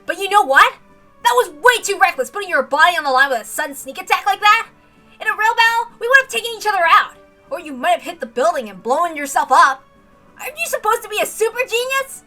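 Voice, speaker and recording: Woman voicing a shrill, hot-headed young male fighter. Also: sing-song, fast talker, pushed and bright, faint music behind, exaggerated voice. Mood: scolding, lecturing. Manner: emphatic, scolding, indignant.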